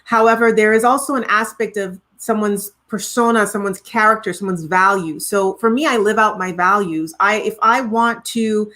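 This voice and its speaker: A middle-aged woman with a Trinidadian accent talks through her ideas in an earnest, reflective way. She often restarts a phrase as she thinks. Her voice sits at an ordinary middle pitch for a woman. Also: moderate pace, hardly any pauses, bright, forceful tone. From Trinidad and Tobago. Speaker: guest, professional singer. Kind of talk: conversational interview.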